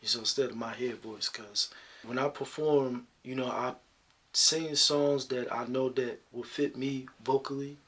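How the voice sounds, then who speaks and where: Brief: deep-voiced male singer being interviewed, husky tone, easygoing, filler-heavy. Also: measured pace. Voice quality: deep talking voice. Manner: relaxed, reflective, many "you know" fillers. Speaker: singer guest. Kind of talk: interview answers.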